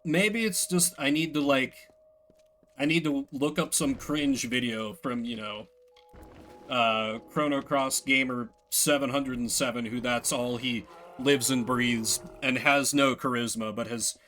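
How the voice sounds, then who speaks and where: A man's voice: mid-range voice, fairly even intonation, measured pace, a bit bright, a little hiss or hum. A man chatting casually as he streams a game, rambling through opinionated, self-deprecating asides. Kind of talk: casual chat while playing a game. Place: indoors at a desk.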